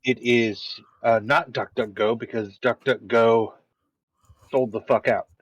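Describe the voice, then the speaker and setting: A man's voice: medium pitch, steady tone, takes it slowly, husky, dry recording. A man chatting casually on a stream, rambling and spelling words out letter by letter. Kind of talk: casual chat. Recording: dull lo-fi mic.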